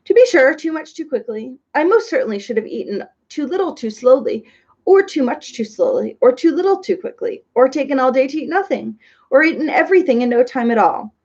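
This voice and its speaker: A woman's voice: high-pitched, very animated, moderate pace, breathy edge, pitched above the performer's own voice, heavily acted. A woman reading aloud, voicing a pompous, fussy male bug who uses grand words and agrees with everyone. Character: pompous fussy male humbug insect, wordy. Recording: dull lo-fi recording.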